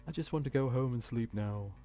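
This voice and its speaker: A man's voice: medium pitch, a little fast, mellow, soft background music, pitched above the performer's own voice, close to an everyday voice. A man voicing a downcast, bullied young male animal pupil in a visual novel, subdued and apologetic. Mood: defensive, meek. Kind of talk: visual novel character reading.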